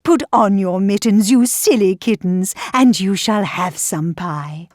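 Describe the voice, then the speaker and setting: A woman's voice: medium pitch, a little slow, a little mellow, no echo, studio quality, voice pushed down, lightly acted. A woman voicing a stern but fond mother cat, exclaiming and admonishing in a nursery rhyme. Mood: brisk, fond.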